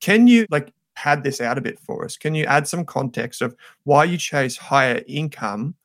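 A man's voice: on the high side, lively intonation, normal speed, fairly bright tone, no echo, clean studio sound. A man hosting an investing podcast, asking questions politely with a few hesitant "uh"s. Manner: curious, polite, slightly hesitant with "uh"s. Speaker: podcast host. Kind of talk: podcast interview.

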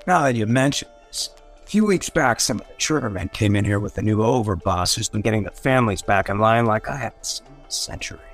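An actor playing a rough, swaggering ghoul mayor of a seedy town, slangy and irreverent, in a radio drama. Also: male voice, on the low side, expressive, fast, faint music behind. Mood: gruff, irritated. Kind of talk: old-time radio drama acting.

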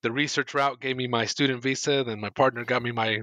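A man from the United States talks easily as a guest, self-deprecating and quick to laugh. His voice is mid-pitched but bright and forward. The recording is dry and close. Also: fairly even intonation, no echo. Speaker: guest, filmmaker and lecturer. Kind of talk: casual interview conversation.

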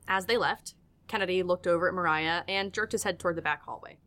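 A woman's voice: on the low side, fast, sharp tone. A woman reading scripted third-person narration for an audio drama as its storyteller.

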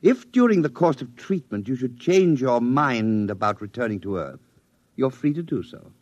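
A man playing a stern, condescending military psychologist in a radio drama, speaking in a measured, formal way. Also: medium pitch, animated, a little mellow, no echo, muffled recording, lightly acted. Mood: cool, cautioning. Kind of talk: 1950s radio drama acting.

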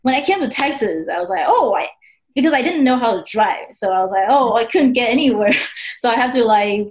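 A woman's voice: fairly high pitch, lively intonation, a little fast. A woman from Taiwan with a Taiwanese Mandarin accent, a podcast guest telling her story in casual chat, full of fillers and laughs. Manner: chatty, many fillers, laughs often. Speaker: guest author.

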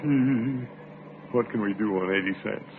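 An actor playing a pompous, penny-pinching, middle-aged suitor who blusters and mutters asides in an old-time radio comedy. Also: male voice, lowish voice, unhurried. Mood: flustered. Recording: tinny, noisy vintage radio recording.

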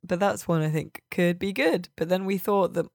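A woman's voice: lowish voice, moderate pace, darkish tone, dry recording. A woman co-hosting a casual video game podcast, bantering with wry, dry humour. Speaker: podcast co-host.

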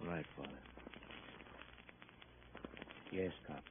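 An actor playing a bitter, defiant young fighter in a radio crime drama, with tough, sneering, clipped street talk. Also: male voice, rumbling low, fairly even intonation, fast talker. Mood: menacing. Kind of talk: old-time radio crime drama acting. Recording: narrow, faintly noisy old radio recording.

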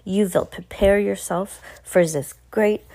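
A woman's voice: medium pitch, fairly even intonation, takes it slowly, warm tone. A woman voicing a gloating, commanding evil grand witch in broken English for a storybook reading. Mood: commanding, scheming. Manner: broken grammar, boastful, commanding.

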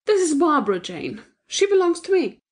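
A woman's voice: sing-song, moderate pace. A woman reading a storybook, voicing an emotional, lonely woman in a tremulous voice. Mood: triumphant. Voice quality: tremulous, breathy high voice. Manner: pleading, breathless. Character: distraught lonely woman, pleading and tearful.

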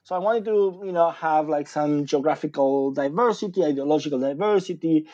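Guy, kinda high voice, mellow, restarts a lot. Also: a little fast, no room sound, dull audio. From Argentina. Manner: rambling, many fillers and restarts. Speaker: guest author, sociologist. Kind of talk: casual interview talk.

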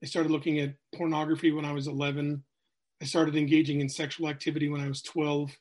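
A man's voice: a little high, level tone, fast, a little mellow. A middle-aged man, a sales coach telling his life story as a podcast guest, candid and rambling with many fillers. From New Hampshire, USA.

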